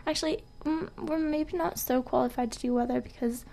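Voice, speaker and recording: A woman co-hosting a casual radio show with deadpan, mock-serious humor. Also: high-pitched, brisk, vocal fry.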